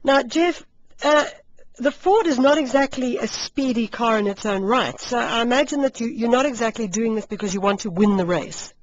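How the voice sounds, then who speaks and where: A woman hosts a live radio interview in a fairly high voice. She is chatty and animated, given to exclamations. The sound is slightly muffled, like a radio broadcast. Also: moderate pace, a little hiss or hum. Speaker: radio host interviewing a guest.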